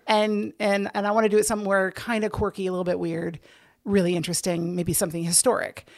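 A woman's voice: medium pitch, little pitch movement, fast talker. Woman explaining things in casual conversation. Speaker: interview guest, theater director.

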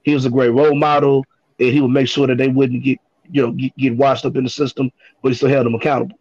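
A man's voice: medium pitch, flat delivery, fast, a little mellow, breathy edge, no echo. Hesitant man on dull, dry audio. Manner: hesitant, with frequent ums and repeated words. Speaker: guest hip hop artist. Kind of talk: casual podcast conversation.

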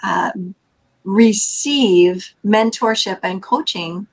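A middle-aged woman hosts a podcast in an earnest, upbeat way. Her pitch sits in the middle, and she pauses with an uh or um as she talks. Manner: earnest, enthusiastic, with fillers. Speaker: podcast host. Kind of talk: casual podcast chat.

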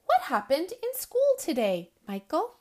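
A woman voicing a kind mother who gently questions her son and sends him to bed. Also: sits high, wildly swooping pitch, measured pace, voice pushed up, heavily acted. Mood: gentle.

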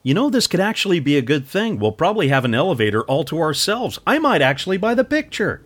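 An actor playing a chatty, slightly uneasy tour guest with a husky voice in a comedic audio drama. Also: male voice, fairly high pitch, big pitch swings, quick pace, a bit bright, heavily acted.

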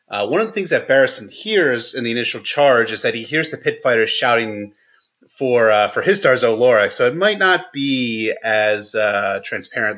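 A man's voice: mid-range voice, a bit bright, muffled recording. A man giving unscripted analysis as a podcast guest, explaining things with frequent restarts. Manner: explanatory, stumbles and restarts often. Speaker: guest commentator.

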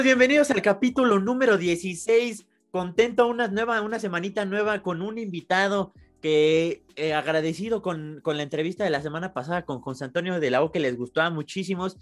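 A Mexican man with a Mexican accent hosting a podcast interview in an upbeat, informal way. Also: sits high. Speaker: podcast host. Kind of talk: casual interview.

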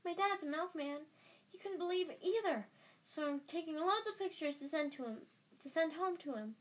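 A woman voicing an excitable, starstruck young schoolboy wizard in a storybook, gushing breathlessly and eagerly. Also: extremely high pitch, normal speed, pitched above the performer's own voice. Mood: excited, eager.

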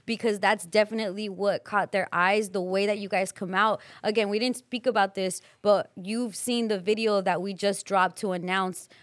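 A woman from Los Angeles hosts a podcast in a loose, animated way, swearing casually as she talks. Her voice sits at an ordinary pitch for a woman. The sound is dry and close, with no room around it. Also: moderate pace, few pauses, dry recording. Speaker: podcast host. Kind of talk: casual chat.

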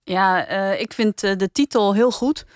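A female podcast host with a Netherlandic Dutch accent leads a casual panel discussion. Her voice sits at a medium pitch, and the recording is close and dry, with no audible room. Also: measured pace, smooth, dry recording.

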